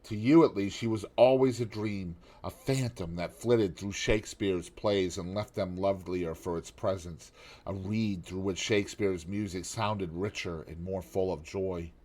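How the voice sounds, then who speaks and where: A man voicing a worldly, cynical aristocratic gentleman in an audiobook, with a drawling, epigrammatic manner. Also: deep voice, unhurried. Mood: languid. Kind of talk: audiobook character reading.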